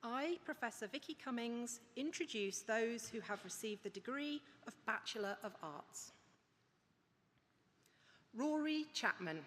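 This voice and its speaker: A woman presenting graduates at a ceremony, reading their names one by one in a measured, formal way. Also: fairly high pitch, lively intonation. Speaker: head of school presenting graduates. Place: large ceremony hall. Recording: loud crowd applause and ambient noise.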